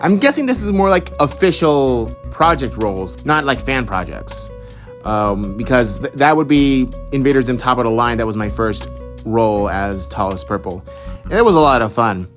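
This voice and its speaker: A young man from Houston talks through fan questions about his voice work in a relaxed, rambling way, stopping and restarting his thoughts often. His voice sits at an ordinary middle pitch. Soft music plays faintly underneath. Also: normal speed. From Houston, Texas. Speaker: voice actor answering follower questions. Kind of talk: casual Q&A monologue.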